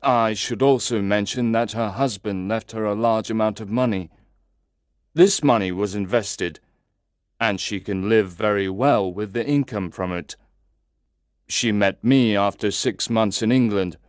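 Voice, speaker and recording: A man narrating a first-person story in a slow, deliberate, carefully enunciated way, as if for language learners. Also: lowish voice, dry recording, studio quality.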